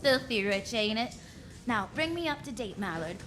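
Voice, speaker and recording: A woman's voice: on the high side, expressive, moderate pace, fairly bright tone, faint music behind. A woman playing a wry, flirtatious, self-assured private eye in the style of 1940s radio drama, full of slangy quips. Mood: teasing. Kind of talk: 1940s radio drama acting with first-person narration.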